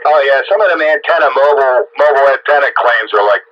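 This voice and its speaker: A man's voice: medium pitch, quick pace, muffled recording. A man chatting casually on two-way radio in an opinionated, dismissive and blunt manner. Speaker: amateur radio operator chatting on air. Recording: noisy band-limited CB radio transmission.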